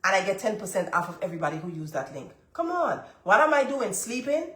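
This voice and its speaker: A stuffed-up woman hosts a live stream and gives money tips with plenty of emphasis, circling back to hammer her main points. Her voice sits at an ordinary middle pitch, and the room around her rings with echo. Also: expressive, fast talker. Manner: emphatic, repeats key points, talks straight to viewers. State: congested. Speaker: livestream host. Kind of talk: motivational money talk to a live audience. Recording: roomy, echoey room sound.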